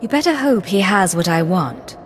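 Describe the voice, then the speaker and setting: A woman's voice: medium pitch, animated, moderate pace, slight background noise, lightly acted. An actress playing a tough, sardonic female secret agent in a video game, with a dry, cool, menacing manner. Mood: cold, threatening. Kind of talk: scripted video game dialogue.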